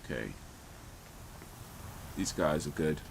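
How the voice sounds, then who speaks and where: A man's voice: a bass voice, animated, takes it slowly, vocal fry, a little hiss or hum, poor audio. A man in his thirties from London with a London accent, chatting casually with his viewers as he streams a game. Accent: London English. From London, England. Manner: laid-back and chatty. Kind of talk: casual live-stream chat.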